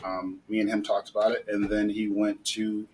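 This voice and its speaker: A man, a guest who is a father, telling a story in relaxed casual chat. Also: lowish voice, moderate pace, slight background noise, poor audio.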